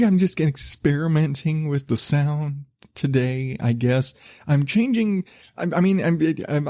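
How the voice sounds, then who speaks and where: A man hosting his own podcast, talking in a loose, rambling, self-deprecating way with a relatively low voice, laughing and swearing casually. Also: warm tone, no room sound, studio quality. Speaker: podcast host.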